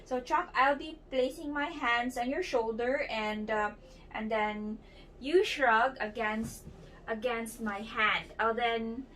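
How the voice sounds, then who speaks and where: A woman's voice: a little high, steady tone, takes it slowly, a bit bright. A young woman demonstrating a clinical examination, explaining each step as she instructs the patient, with frequent fillers and restarts. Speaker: student demonstrating a clinical exam. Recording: distant mic with faint background noise.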